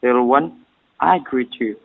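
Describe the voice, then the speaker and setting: A man's voice: medium pitch, a little slow, creaky. A man playing a gracious, commanding emperor with formal, regal phrasing in a stage drama. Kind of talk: amateur stage-play dialogue, formal English. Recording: muffled, phone-like narrowband sound.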